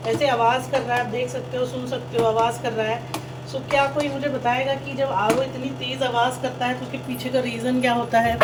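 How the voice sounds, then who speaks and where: A woman's voice: high-pitched, background noise. A young woman chatting casually to viewers while cooking in her home kitchen, explaining each step as she goes. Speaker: vlogger. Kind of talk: casual cooking vlog chat.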